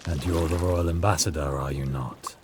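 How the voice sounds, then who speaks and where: An actor playing a gruff, stern hermit martial arts master in a fantasy audio drama, speaking in terse, measured phrases. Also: male voice, rumbling low, fairly even intonation. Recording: loud water noise behind the voice.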